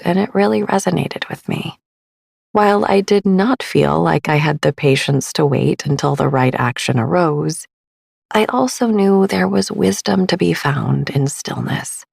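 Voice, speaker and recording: A woman narrating a guided sleep meditation as host, soft and breathy, soothing and unhurried, often trailing into a whisper. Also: on the low side, dry recording, studio quality. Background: soft background music.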